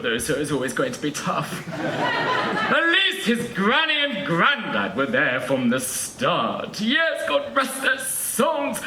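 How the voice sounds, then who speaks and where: A scatty, doting British pantomime dame, gossipy and theatrical, whose pitch swoops wildly from line to line. It is broad stage comedy, heard in an echoey, noisy theatre. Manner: exaggerated, theatrical, with asides to the audience. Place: theatre stage before an audience.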